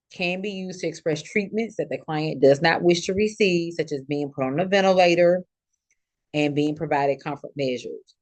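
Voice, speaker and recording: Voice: mid-range voice, a little mellow, dry recording. An instructor giving an informal healthcare lecture, explaining points and often repeating and rephrasing them for students.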